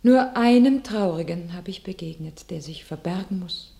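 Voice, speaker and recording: An actress playing a saintly young warrior maiden in a classical verse radio drama, fervent, pleading and declamatory. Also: female voice, lowish voice, animated, normal speed, creaky, dark tone. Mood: gentle, pleading. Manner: declamatory, exalted.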